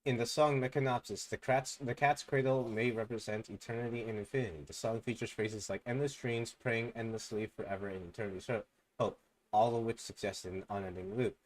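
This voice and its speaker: A young man streaming, reading fan theories aloud in a low mumble and breaking into loud excited outbursts. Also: brisk, poor audio.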